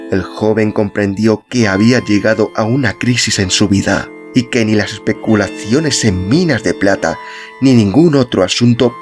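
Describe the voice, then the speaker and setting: A man reading literary narration as an audiobook narrator. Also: lowish voice. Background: music.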